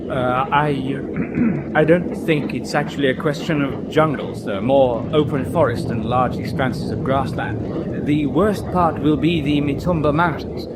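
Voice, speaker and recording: An actor playing a diffident, well-informed naval subordinate with a hesitant, deferential manner. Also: male voice, mid-range voice, a little slow, close to an everyday voice. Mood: hesitant, correcting. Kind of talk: period audio drama acting. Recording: loud outdoor vehicle noise.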